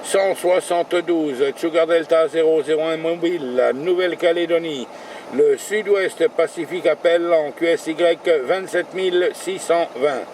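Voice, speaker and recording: Higher-pitched man on mobile radio, noisy background. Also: unhurried, darkish tone. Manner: spells out call signs in radio jargon. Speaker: amateur radio operator. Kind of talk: radio contact calls and chat. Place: in a vehicle, mobile station.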